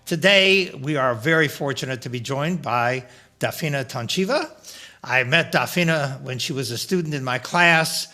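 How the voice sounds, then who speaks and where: Bright-voiced older male podcast host. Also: mid-range voice, normal speed. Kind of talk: podcast interview.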